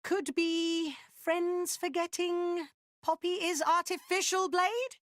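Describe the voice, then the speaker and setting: An actor voicing a small, excitable furry male creature who talks in broken grammar in a game. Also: extremely high pitch, takes it slowly, no echo, studio quality, exaggerated voice. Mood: cheerful, coy. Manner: speaks in broken third-person grammar. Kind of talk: voiced game cutscene acting.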